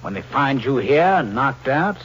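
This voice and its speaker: An actor playing a scheming, cowardly killer in a 1940s radio thriller, with theatrical delivery. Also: male voice, medium pitch, very animated, measured pace, fairly bright tone. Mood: smug, sinister. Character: scheming, cowardly male killer framing a drifter. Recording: muffled old radio recording, some hiss.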